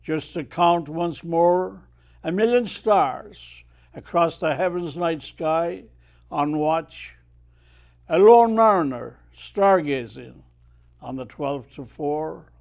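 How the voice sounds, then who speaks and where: An Irish man in his eighties, a retired mariner guest telling stories and reciting his own poetry in a measured way. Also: on the high side, vocal fry, a little mellow. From Ireland. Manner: measured storyteller who declaims verse with swelling emphasis. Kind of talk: unhurried storytelling and poetry recitation.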